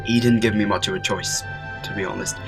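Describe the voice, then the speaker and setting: A man's voice: lowish voice, over music, close to an everyday voice. A man playing a whimsical, upbeat alien time traveller with a playful manner in a fan audio drama. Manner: playful, animated, quick shifts.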